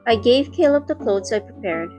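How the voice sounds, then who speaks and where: A woman's voice: fairly high pitch, expressive, normal speed, a little mellow, soft background music. A woman reading scripted first-person narration for a romantic audio drama, also voicing its heroine.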